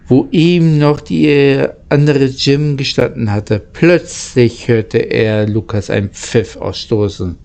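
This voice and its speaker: A man reading a children's story aloud in a halting way, stumbling over words now and then. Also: mid-range voice, fairly even intonation, gravelly, darkish tone. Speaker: amateur audiobook reader.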